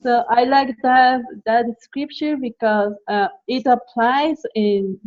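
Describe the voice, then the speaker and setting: A woman's voice: on the high side, slow. Hesitant woman from Mexico, dull audio. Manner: hesitant, many uh fillers, restarts. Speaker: student in group discussion. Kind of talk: casual discussion.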